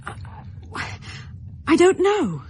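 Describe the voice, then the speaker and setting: An earnest, distraught young British lady in a radio drama. Her high voice moves expressively up and down with fervent pleading. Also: wildly swooping pitch, slow, a little mellow, a little hiss or hum, full character voice. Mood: anxious, pleading.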